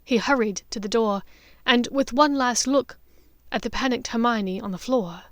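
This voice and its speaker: A woman's voice: on the high side, moderate pace, a bit bright, no room sound, studio quality. A woman reading dramatic fiction as an audiobook narrator.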